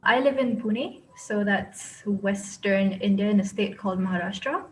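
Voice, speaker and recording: A woman's voice: medium pitch, moderate pace, slight background noise, rough recording. A woman in her twenties answering interview questions casually, talking with frequent "like" and "kind of" fillers. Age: 20s. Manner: chatty, frequent "like" and "kind of", laughs easily. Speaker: interviewee, architecture graduate.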